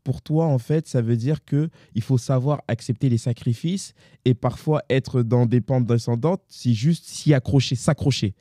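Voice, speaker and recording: Male interviewer, very dark soft-edged timbre, dry close recording, halting reflective questions, agreeable, conversational. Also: mid-range voice, no room sound. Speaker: interviewer, host.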